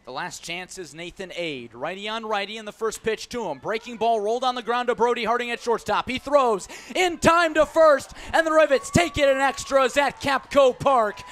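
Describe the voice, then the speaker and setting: A man's voice: very high-pitched, moderate pace, few pauses, fairly bright tone. A man calling baseball play-by-play live on the radio from a ballpark, punching up the big moments. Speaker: play-by-play announcer. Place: outdoor ballpark broadcast.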